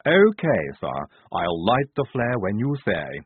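A man's voice: fairly low pitch, huge pitch swings, unhurried, slightly rough, dry recording, heavily acted. A man voicing a stiff, dutiful young female army private with a formal manner. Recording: tinny phone-like dry sound.

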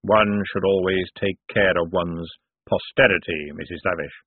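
Guy doing the grim reaper for a storybook, slow n grave, husky, muffled lo-fi audio. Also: deep voice, dry recording. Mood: solemn, measured. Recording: muffled, band-limited phone-like audio.

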